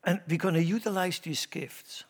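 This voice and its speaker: A middle-aged man with a Swiss German accent, preaching a sermon in church, emphatic and shifting from loud to hushed. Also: sits high, normal speed. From Switzerland. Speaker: pastor.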